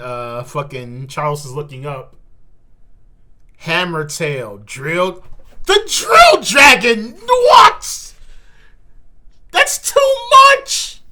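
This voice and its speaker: This is an animated host doing a live manga reaction. The delivery is casual and slangy, full of repeated words and exclamations, with a very lively pitch range and a bright, punchy tone.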